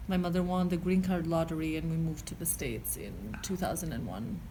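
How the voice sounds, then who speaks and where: A woman's voice: deep voice, fairly quick, warm tone, a little hiss or hum, poor audio. A woman from Albania, a student giving plain, matter-of-fact interview answers.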